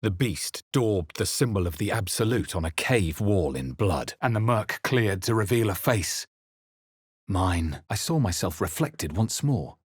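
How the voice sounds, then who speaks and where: A man playing a wary, brooding fantasy adventurer in a video game, with a husky voice and a grave, measured delivery. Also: fairly low pitch, animated, dry recording, studio quality. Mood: grave, reflective. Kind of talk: dramatic fantasy game narration and character lines.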